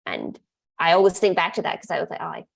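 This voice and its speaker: A woman's voice: lowish voice, wildly swooping pitch, quick pace, gravelly. A woman talking casually on a podcast as a co-host, chatty and full of "like" fillers. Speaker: podcast co-host, staff member.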